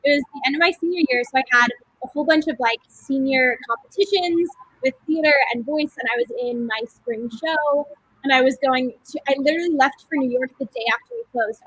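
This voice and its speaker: High-voiced young woman telling a story. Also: breathy edge, rough recording. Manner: animated, with many fillers. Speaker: cast member. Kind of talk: casual storytelling.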